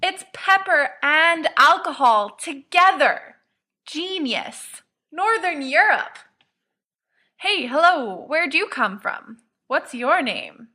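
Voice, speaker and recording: Woman playing a loud, drunk, exuberant teenage boy. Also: sits high, big pitch swings, slow pace, pitched above the performer's own voice, heavily acted. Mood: drunk, yelling. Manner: loud, effusive exclamations. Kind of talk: fan fiction audiobook character.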